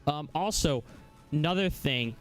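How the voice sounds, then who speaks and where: A man hosting a casual live software demonstration, talking haltingly as he thinks aloud. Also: fairly high pitch, lively intonation, clear, resonant tone, faint music behind. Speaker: live stream host.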